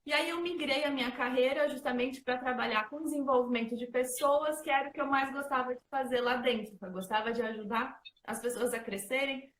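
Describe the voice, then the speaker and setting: A woman's voice: sits high, steady tone, fairly quick, few pauses, a bit bright. Chatty São Paulo woman in an echoey room. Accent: São Paulo Portuguese. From São Paulo, Brazil. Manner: chatty, many fillers like "né" and "então". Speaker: host and facilitator. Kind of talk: casual presentation talk.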